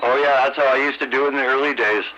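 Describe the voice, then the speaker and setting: A middle-aged or older American man chatting casually as a radio hobbyist, halting and repeating his words, over a CB radio transmission. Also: mid-range voice, steady tone, crowd noise. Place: two-way CB radio conversation. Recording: dull, noisy CB radio transmission.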